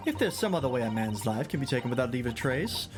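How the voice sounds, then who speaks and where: A streamer voicing a haughty English gentlewoman witness in a British accent, prim and condescending. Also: mid-range voice, big pitch swings, fast talker, close to an everyday voice. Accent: British, put on. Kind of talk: reading game dialogue aloud. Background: game music.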